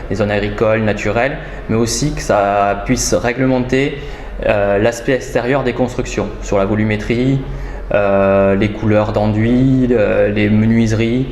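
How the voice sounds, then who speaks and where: A man speaking as a local official, giving measured explanations in formal wording, with frequent hesitations. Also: on the low side, darkish tone. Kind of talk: explanatory interview answers. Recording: roomy echo, faint background noise.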